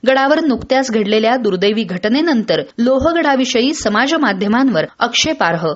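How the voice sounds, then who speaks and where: A woman newsreader with a fairly high voice delivers a scripted bulletin. Her delivery is formal and measured. The audio is slightly dull and lo-fi. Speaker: radio newsreader. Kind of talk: scripted news narration.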